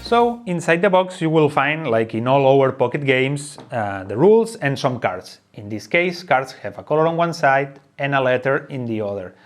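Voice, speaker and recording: A man's voice: medium pitch, big pitch swings, normal speed, few pauses, faint music behind. A man presenting an unscripted how-to explanation of a game. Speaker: presenter of a board game tutorial.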